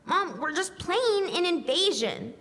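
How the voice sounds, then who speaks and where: A young female performer playing an excitable, evasive little girl in a live stage radio drama. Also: very high-pitched, unhurried, heavily acted. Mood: eager, impatient. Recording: echoey stage hall.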